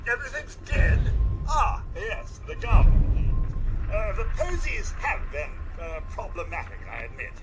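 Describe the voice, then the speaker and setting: Robot butler: fussy, devoted British servant, very high and slow, prim and hesitant, loud music beneath. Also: male voice, wildly swooping pitch, exaggerated voice. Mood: fussy, sheepish. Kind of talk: video game voice acting.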